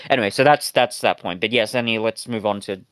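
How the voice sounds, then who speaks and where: A man's voice: on the low side, a bit bright, smooth, no echo. Dry-sounding recording, wry male podcast co-host. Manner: halting, wry, sweary. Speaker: podcast co-host reviewing audio dramas. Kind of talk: casual discussion.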